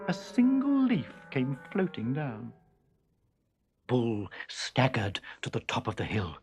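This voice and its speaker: Animated man narrating a children's story. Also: medium pitch, takes it slowly, soft background music. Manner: expressive, suspenseful, savouring the sound words.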